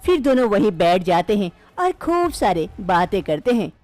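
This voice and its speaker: A woman narrating a cartoon story in a steady, plain storytelling manner. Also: mid-range voice, moderate pace, no room sound, soft background music.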